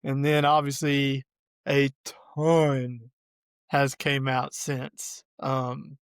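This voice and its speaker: A man with a cold, sounding hoarse, hosting a casual sports podcast and laughing often. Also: medium pitch, level tone, drawn-out words, no echo, clean studio sound. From Arkansas. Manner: laid-back, laughs often. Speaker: podcast host.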